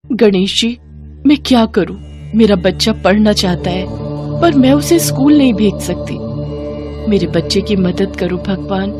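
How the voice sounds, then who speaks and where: Devout, loving poor mother for a moral tale, played by a woman, earnest and tender, medium pitch. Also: animated, measured pace, music in the background, close to an everyday voice. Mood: pleading prayer.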